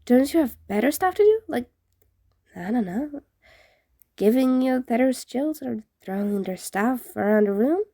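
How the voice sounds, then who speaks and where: A woman's voice: fairly high pitch, lively intonation, measured pace, warm tone, no echo, clean studio sound. A woman voicing a wary, blunt and inquisitive schoolboy in a storybook reading, insistent and questioning. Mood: sarcastic, pressing.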